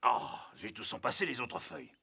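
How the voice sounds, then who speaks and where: A man's voice: mid-range voice, fairly quick, creaky, noisy background. A man playing an indignant, blustering grown man who is easily alarmed, in a children's cartoon dub. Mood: flustered. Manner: excitable, blustering.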